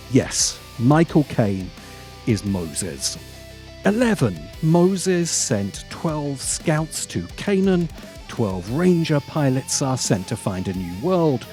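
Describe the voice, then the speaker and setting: A man narrates a scripted film essay at a slow pace. His pitch moves widely as he leans on key words and leaves dramatic pauses. Faint music plays behind his voice. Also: darkish tone. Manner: deliberate, dramatic pauses and emphasis. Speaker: host of a film commentary channel.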